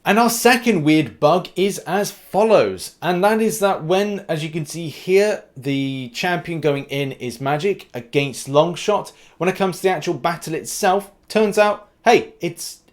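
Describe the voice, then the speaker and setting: A man hosting a gaming news video, delivering commentary in an informal, conversational way. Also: on the high side. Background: background music.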